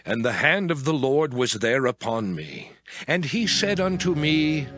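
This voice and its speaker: An actor playing an earnest, awed prophet recounting his vision in a solemn, measured, expressive dramatized scripture narration. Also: mid-range voice. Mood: solemn wonder. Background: music.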